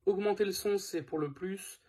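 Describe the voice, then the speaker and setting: A man's voice: high-pitched, moderate pace, dark tone, husky. A man presenting a product in a casual hands-on tutorial, informal and thinking aloud as he goes.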